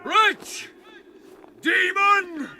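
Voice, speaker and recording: An actor playing a tormented, half-mad young scientist in an audiobook drama, theatrical and declamatory. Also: male voice, extremely high pitch, drawn-out words, bright, forceful tone, raised voice, slight background noise, heavily acted. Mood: enraged.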